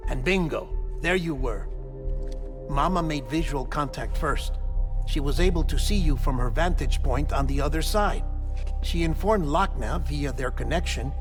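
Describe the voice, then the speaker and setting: An actor playing a wry, caring comrade in a video game cutscene, conversational and explanatory. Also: male voice, on the high side, natural voice.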